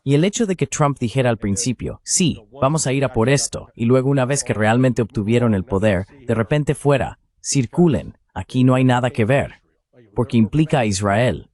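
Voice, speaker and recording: A man's voice: medium pitch, lively intonation, moderate pace, a little mellow. A man reading a translated voice-over of a political commentator in an interview.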